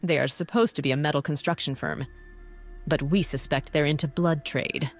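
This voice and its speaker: A woman voicing a bossy, cynical female task force boss in a visual novel, brisk, dry and condescending. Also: low voice, soft background music. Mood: businesslike.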